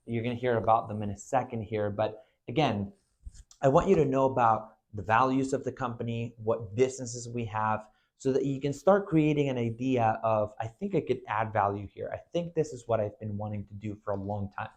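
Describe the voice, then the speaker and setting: A man's voice: mid-range voice, moderate pace. A man from Venezuela in his twenties, an agency owner speaking earnestly in a recruitment video, with frequent "you know" and "right" fillers.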